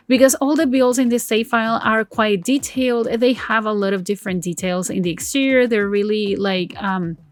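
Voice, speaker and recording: A woman whose first language is Spanish, giving casual voice-over commentary on a building game, rambling through her choices with fillers and self-corrections and often laughing at herself. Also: fairly high pitch. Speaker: game build video creator.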